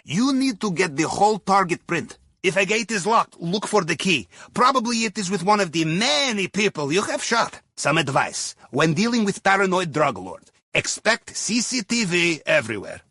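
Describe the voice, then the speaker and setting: A man's voice: sits high, very animated, moderate pace, hardly any pauses, pushed and bright, no room sound, studio quality, heavily acted. A man playing a jovial, wry Russian submarine captain in a video game, with a Russian accent and a playful, theatrical way of guiding his crew. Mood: wry, instructive. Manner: playful, theatrical, drops articles, tags sentences with questioning "yes?" or "huh?". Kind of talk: video game mission dialogue over comms.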